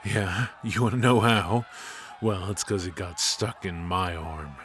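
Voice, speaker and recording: A man voices a ruthless mafia boss with a very low voice and a slow, intimate delivery. He is cocky and teasing yet protective, in the manner of a noir audio roleplay. There is clear noise and ambience behind him. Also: steady tone, fairly bright tone. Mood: urgent, strained. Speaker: voice actor. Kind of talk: noir ASMR roleplay acting.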